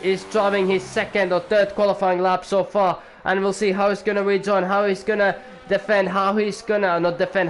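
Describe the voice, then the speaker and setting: Young male league commentator, rambly and jokey, slightly high-pitched. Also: a little hiss or hum. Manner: rambling, chatty, jokey asides to viewers. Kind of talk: live sim-racing commentary.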